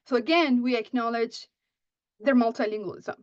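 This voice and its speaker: A woman's voice: on the high side, moderate pace, no echo. A woman whose first language is Persian, giving a conference talk on teaching writing, often asking "right?" and restarting her sentences. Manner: frequent "right?" checks, many restarts. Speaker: writing instructor. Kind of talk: conference presentation. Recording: muffled dry audio.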